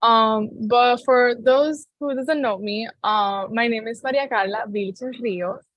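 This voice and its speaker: A young woman from Cuba, a pageant contestant answering interview questions in a giggly, halting way with many fillers. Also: a little high, fairly bright tone, dry recording. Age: young adult.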